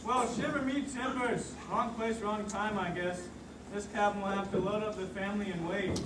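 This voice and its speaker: A man's voice: high voice, steady tone, normal speed, lightly acted. A man playing Noah as a worn-out, folksy ark builder in an amateur church puppet show. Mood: resigned. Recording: distant roomy recording, loud noise and crowd.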